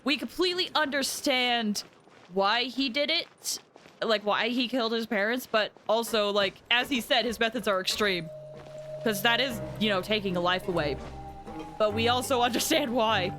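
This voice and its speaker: A woman chatting casually to viewers as she hosts a game livestream, in an animated, chatty manner, sounding a little tired. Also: fairly high pitch, normal speed. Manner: animated, chatty, with excited exclamations. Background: background music.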